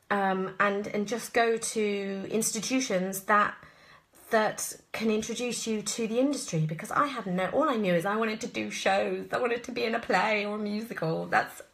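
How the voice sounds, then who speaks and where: Bright-voiced English woman, chatting casually. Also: mid-range voice, vocal fry. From southwest England. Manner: chatty, rambling, expressive. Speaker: actress answering listener questions. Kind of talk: casual live Q&A chat.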